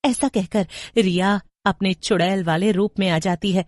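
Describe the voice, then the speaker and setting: A woman's voice: mid-range voice, fairly even intonation, a little slow, a little mellow, no echo. A woman narrating a scripted horror story as a storyteller.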